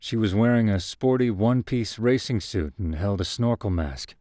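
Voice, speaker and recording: A man's voice: fairly low pitch, lively intonation, normal speed, warm tone, no echo, studio quality. A man reading scripted first-person fiction as the narrator of a short story.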